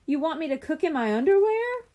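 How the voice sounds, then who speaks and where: Lady reading a puzzled easygoing dad in a kids story, voice goes high and bouncy. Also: very animated, brisk, dark tone. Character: easygoing, puzzled father of a young boy. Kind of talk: children's audiobook character dialogue.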